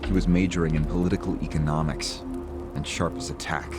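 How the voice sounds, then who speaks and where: A man's voice: a bass voice, fairly even intonation, brisk. A man acting an educated, cowed captive in a video game, speaking formally and hesitantly. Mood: subdued, explaining. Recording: loud music behind the voice.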